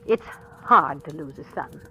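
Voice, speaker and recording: An actress playing a kindly, gently insistent middle-aged mother in a 1940s radio drama, speaking with genteel tenderness. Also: sits low, lively intonation, measured pace, muffled recording, full character voice. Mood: tender, earnest. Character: kindly, gently insistent mother welcoming a bereaved daughter-in-law. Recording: vintage radio broadcast, faint music.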